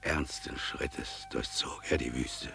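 An actor playing a devout father in a radio play, praising God's creation in solemn, exalted declamation with drawn-out emphatic phrases. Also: rumbling low, wildly swooping pitch, gravelly, pushed and bright, soft background music, heavily acted. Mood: fervent exhortation.

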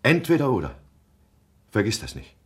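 An actor in a German radio drama playing an earnest, cornered middle-aged husband, proud and pleading, with an urgent, emphatic delivery. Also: male voice, fairly low pitch, wildly swooping pitch, moderate pace, husky, full character voice. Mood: urgent, insistent.

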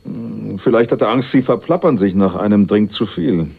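An actor playing a sly, cynical go-between with a wry, teasing way of talking in a German radio crime drama. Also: male voice, fairly low pitch, expressive, moderate pace, creaky, husky, exaggerated voice. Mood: cheeky, polite. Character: cynical, sly male go-between, cheekily flirtatious. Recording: muffled, phone-like 1980s radio recording.

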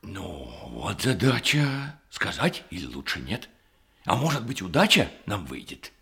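Brash, bright-voiced comic schemer. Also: male voice, lowish voice, wildly swooping pitch, a little slow, gravelly, slightly rough, heavily acted. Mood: sly asides. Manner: boastful, exclamatory, conspiratorial asides. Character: brash, sly, scheming male relative, a comic liar. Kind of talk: theatrical radio comedy acting in verse.

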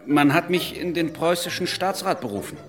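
An actor playing an earnest, dutiful civilian jurist and state councillor in a German radio play, formal, clipped and politely persistent. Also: male voice, a little high, animated, normal speed, fairly bright tone. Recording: music under the voice. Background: music.

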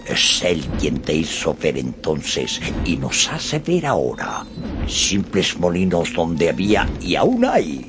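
An actor playing a deluded, love-struck old knight errant with pompous, flowery declamation in a radio drama. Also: male voice, low voice, wildly swooping pitch, takes it slowly, exaggerated voice. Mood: dramatic warning. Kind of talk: Spanish-language radio drama acting. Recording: studio radio drama with background music.

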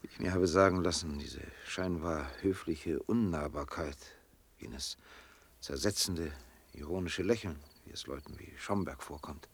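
An actor playing an aloof, sceptical loner in a radio drama, earnest and gentle, speaking in a measured, reflective, halting way. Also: male voice, extremely low pitch, creaky, slightly rough, heavily acted. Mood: bitter, reflective. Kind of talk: restrained German radio drama acting.

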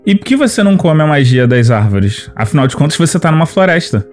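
Man playing a lively, kindly fantasy adventurer. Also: on the high side, fast, faint music behind. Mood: curious. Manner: friendly, courteous, inquisitive. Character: kindly, curious male adventurer, a warrior with magic. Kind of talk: audio drama fantasy acting.

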